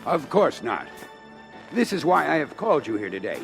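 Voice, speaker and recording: An actor playing a stern, authoritative planetary defense minister in an audio adventure, speaking in formal, measured, emphatic oratory. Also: male voice, fairly high pitch, sing-song, gravelly, faint music behind. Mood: firm, official. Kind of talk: audio adventure record acting, military briefing speech.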